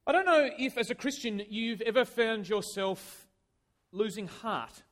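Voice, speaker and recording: A man preaching a conversational sermon in a church, chatty and self-deprecating, with lively swings of emphasis. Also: high voice, measured pace.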